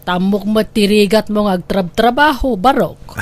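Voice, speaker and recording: An actress as a nosy, plain-spoken older landlady in a radio drama. She talks slowly at a medium pitch, with an Ilocano accent. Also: natural voice. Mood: inquisitive, frank.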